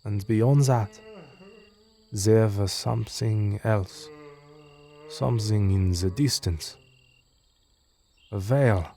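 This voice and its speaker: Male narrator, scripted horror story, unhurried pace, mellow low voice. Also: vocal fry.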